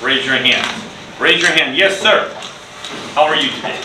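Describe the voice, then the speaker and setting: A middle-aged man speaking as an English teacher, with deliberate, clearly enunciated delivery. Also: a little high, noisy background. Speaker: ESL teacher and video host. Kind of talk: presenting to camera and leading a children's class.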